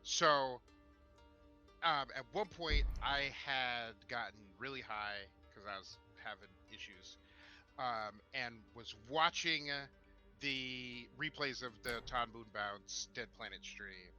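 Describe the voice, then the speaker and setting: Male co-host, very bright sharp voice at middling pitch, informal halting chat, faint music underneath. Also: lo-fi sound. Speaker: co-host of a tabletop role-playing talk stream. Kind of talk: casual livestream chat.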